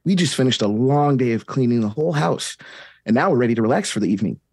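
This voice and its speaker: A man's voice: mid-range voice, animated, fast, husky, faint music behind. Man voicing Steve, cheery kids' show host. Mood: cheerful. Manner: bright, upbeat, talking to the viewer. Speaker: actor in a table read.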